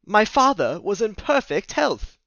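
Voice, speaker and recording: A man playing a flustered, confused, nature-loving man who speaks haltingly in formal words in an audio drama. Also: high voice, very animated, vocal fry, fairly bright tone, no room sound, dull audio, full character voice. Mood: agitated, insistent. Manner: halting, stammering, formal wording.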